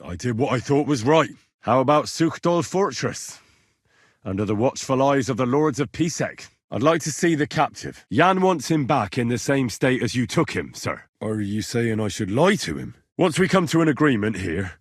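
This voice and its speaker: An actor playing an earnest, blunt young medieval squire in a video game, plain-spoken and a little husky. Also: male voice, mid-range voice, expressive, moderate pace, no room sound, clean studio sound. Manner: plain-spoken, polite to nobles, bluntly challenging when provoked. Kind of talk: video game dialogue acting.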